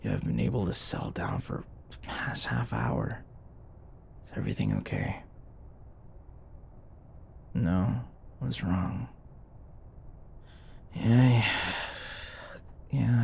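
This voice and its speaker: A man's voice: lowish voice, very animated, takes it slowly, vocal fry, long pauses, a little mellow, slight background noise, exaggerated voice. A man acting a grumpy, sarcastic office rival who grudgingly cares, in an intimate, blunt, conversational roleplay style. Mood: soft, concerned. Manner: exasperated, blunt, conversational, sighing.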